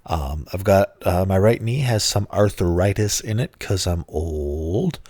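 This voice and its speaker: A middle-aged man hosting his own podcast in casual, grumpy, self-mocking talk, his voice hoarse and lower than usual from bronchitis. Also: lively intonation, a little slow, darkish tone, no room sound. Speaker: podcast host.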